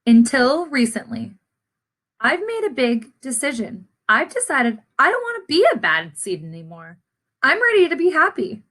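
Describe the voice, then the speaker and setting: A woman reading as a storybook seed, a sullen male character trying to reform, in a deliberate, confessional way. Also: sits high, very animated, fairly bright tone, heavily acted. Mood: hopeful, earnest.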